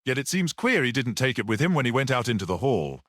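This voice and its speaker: A man voicing a brisk, confident police detective in a storybook reading, matter-of-fact and assertive. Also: medium pitch, sing-song, a bit bright, dry recording, clean studio sound, pitched above the performer's own voice. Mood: puzzled, sharp.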